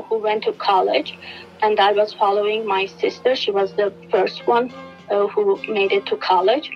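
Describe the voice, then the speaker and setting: A woman's voice: medium pitch, vocal fry. Earnest Turkish-accented woman, muffled audio. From Turkey. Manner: earnest, steady, many fillers and restarts. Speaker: guest, education specialist. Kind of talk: reflective interview answer.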